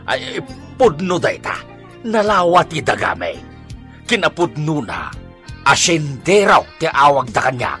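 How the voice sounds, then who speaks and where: Henpecked, mischievous Ilocano sidekick husband, bright forceful voice, big pitch swings, radio drama. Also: male voice, big pitch swings, measured pace, soft background music. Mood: mischievous, jovial.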